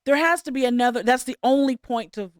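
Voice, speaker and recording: Woman, 50s, casual co-host, quick laugh, dry close recording. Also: sits high, dry recording. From California. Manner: laughs easily, probing questions. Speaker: podcast co-host. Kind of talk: casual chat.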